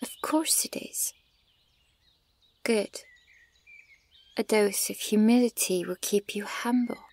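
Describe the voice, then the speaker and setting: A woman playing a haughty, menacing, centuries-old elven archer in an ASMR roleplay, deliberate and condescending, at times nearly whispering. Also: medium pitch, gravelly, darkish tone. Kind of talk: slow ASMR roleplay monologue.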